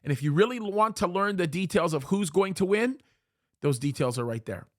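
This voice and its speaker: A man's voice: high-pitched, lively intonation, moderate pace, dry recording, clean studio sound. A pastor, a man, preaching emphatically to his viewers, pleading and repeating phrases for weight. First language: Arabic is his second language, English first. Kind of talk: preaching commentary to camera.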